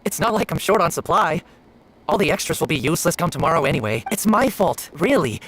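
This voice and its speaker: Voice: mid-range voice, big pitch swings, brisk, fairly bright tone, husky. An actor playing an apologetic, friendly young newspaper seller in a video game, polite and hesitant. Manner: hesitant, polite, eager to please. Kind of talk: video game character voice acting. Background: game music.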